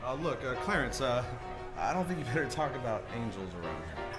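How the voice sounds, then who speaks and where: A man's voice: mid-range voice, animated, fairly quick, natural voice. A man playing a bewildered, stammering, agitated everyman family man in a 1940s-style radio play. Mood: uneasy, placating. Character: frantic, bewildered everyman husband and father. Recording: live stage play with music behind.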